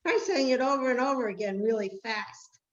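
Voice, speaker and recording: A woman's voice: on the high side, fairly quick, natural voice. A woman playing a proud, party-loving biblical queen in a playful scripted comic monologue for amateur reader's theater. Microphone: video call. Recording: dull, roomy video-call audio.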